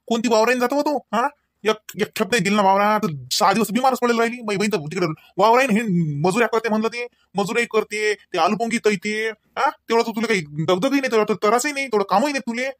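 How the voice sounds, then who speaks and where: A man's voice: high-pitched, fairly bright tone, no room sound, studio quality. A man playing a proud, angry village husband in a comedy cartoon, scolding in a Varhadi Marathi accent. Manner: scolding, firing off rhetorical questions. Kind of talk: amateur comedy cartoon dialogue.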